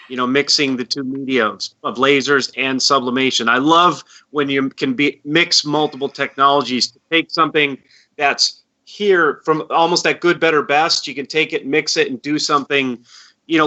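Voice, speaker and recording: A man with a very bright, sharp voice gives informal interview answers as a company expert. He sounds earnest and explanatory. The recording is dull and lo-fi. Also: a little high. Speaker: company representative, guest expert.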